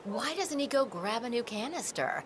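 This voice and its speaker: Suspicious neighborhood busybody wife, video game: gossipy, nagging, expressive pitch, noisy ambient backdrop. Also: female voice, normal speed. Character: nosy, suspicious suburban wife, exasperated with husband. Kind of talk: conversational video game dialogue.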